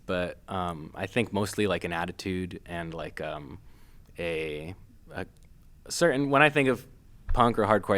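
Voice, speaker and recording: A man from Massachusetts, a filmmaker guest, talking casually with many pauses, fillers and restarts. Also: sits low, a bit bright. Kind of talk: casual interview.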